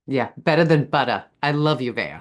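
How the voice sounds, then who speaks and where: A woman hosting a casual vlog, talking in an expressive, playful way. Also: very deep voice, moderate pace. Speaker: host.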